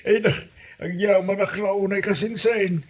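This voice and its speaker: Man acting a slow, high-pitched old father. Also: fairly even intonation. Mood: delighted. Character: good-natured, cheerful old father. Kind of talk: comedy radio drama acting.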